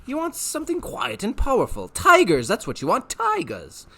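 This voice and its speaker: A man's voice: very high-pitched, huge pitch swings, gravelly, voice pushed up, heavily acted. A man voicing a pushy, wisecracking male trickster who hollers and talks like a huckster in a storybook reading. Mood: pushy, brash. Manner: loud, fast-talking huckster, hollers.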